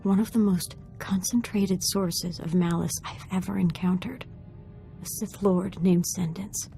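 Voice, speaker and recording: An actress playing a young, earnest, troubled Sith apprentice in a video game, speaking gravely and formally. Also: female voice, on the low side, steady tone, a little slow, mellow, faint music behind. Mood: troubled, resolved. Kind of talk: dramatic video game voice acting.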